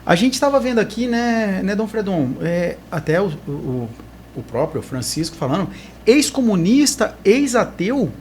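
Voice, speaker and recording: A man hosting a podcast interview, asking short questions and dropping quick interjections. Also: high voice, very animated, a little mellow, a little hiss or hum. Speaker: podcast host. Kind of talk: casual interview chat.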